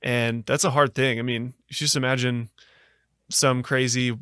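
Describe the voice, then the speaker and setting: An American man speaks in an even, level tone with a smooth, clean voice. He tells his story earnestly, pausing often with fillers and restarting his thoughts. The recording is dry and close, with no room sound. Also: medium pitch, no room sound. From United States. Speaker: podcast guest. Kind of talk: reflective storytelling.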